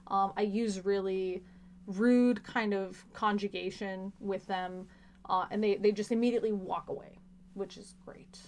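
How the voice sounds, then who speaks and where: A woman's voice: medium pitch, steady tone, a little slow, darkish tone, slight background noise. A woman hosting a casual livestream, chatting to viewers and acting out bits of dialogue as she tells stories. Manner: storytelling with laughs and mimicked dialogue. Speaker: livestream host.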